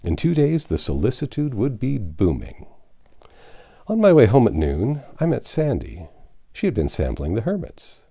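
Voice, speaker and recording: A man narrating a classic novel aloud as an expressive storyteller. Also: medium pitch, normal speed, gravelly. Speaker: audiobook narrator.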